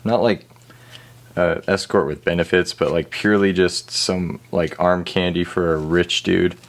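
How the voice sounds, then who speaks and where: A man's voice: deep voice, steady tone, a little slow. A man hosting a repair video in casual chat, wry, joking and rambling, with some swearing.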